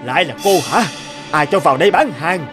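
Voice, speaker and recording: A man's voice: on the high side, animated, fairly quick, over music, natural voice. A man playing a stern, dutiful burly policeman in a cartoon, scolding with authority. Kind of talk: dubbed cartoon acting.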